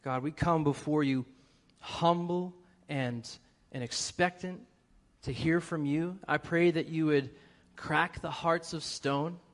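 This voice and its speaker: A man's voice: fairly high pitch, takes it slowly. Upbeat Boston-tinged male preacher. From New England, US. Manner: energetic, joking with the congregation. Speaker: guest preacher. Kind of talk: lively church sermon.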